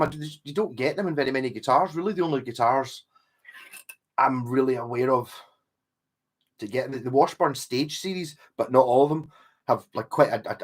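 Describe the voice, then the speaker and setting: A man hosting a livestream in casual chat, rambling and correcting himself as he reads viewer comments and greets people by name. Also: mid-range voice. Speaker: livestream host talking guitars with chat.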